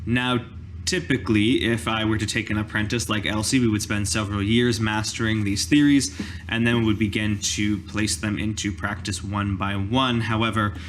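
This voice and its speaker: A man voicing a formal druid master in a tabletop game, speaking in deliberate, professorial phrases. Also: fairly low pitch, steady tone, slight background noise, lightly acted. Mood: formal, instructive. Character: formal, measured male druid master giving lessons.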